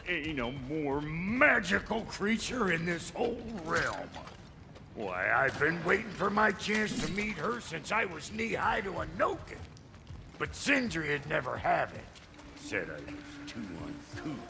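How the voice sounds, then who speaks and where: A gruff dwarf blacksmith from a video game, with a gravelly twang. He swings wildly in pitch and takes his time over folksy, brash complaints, with music playing behind him. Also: exaggerated voice. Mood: reverent, excited. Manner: folksy, brash, colorful grumbling. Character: gruff, foul-mouthed, excitable male dwarf blacksmith. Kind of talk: video game cutscene acting.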